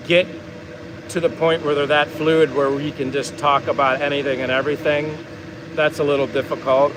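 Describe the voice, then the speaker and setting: A talkative American man hosts a relaxed livestream, drifting from one thought to the next in an easygoing ramble. His voice sits a little higher than most men's. Steady road noise from passing traffic runs underneath the whole time. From United States. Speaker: vlog host reading viewer comments. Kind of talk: casual livestream chat.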